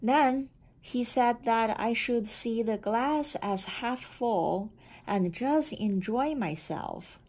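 A woman reading a children's picture book aloud in a deliberate, expressive storytelling manner. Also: a little high. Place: indoor room with framed certificates on the wall.

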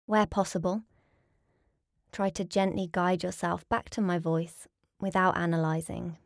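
A woman leading a guided relaxation as a yoga nidra teacher, speaking in a calm, soothing, measured way with pauses. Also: lowish voice, gravelly, no echo, studio quality.

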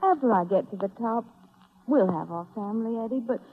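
A woman's voice: fairly high pitch, expressive, a little fast, husky. An actress playing an earnest, pleading, stage-struck young woman usher in a 1930s radio drama. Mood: torn, pleading. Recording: tinny 1930s radio recording.

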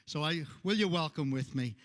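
A man's voice: fairly high pitch, lively intonation, moderate pace, a little hiss or hum. An older man, the church's pastor, introducing a guest preacher with a handheld mic at a pulpit. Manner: warm, praising, standing at a pulpit. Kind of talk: formal introduction. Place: church sanctuary stage.

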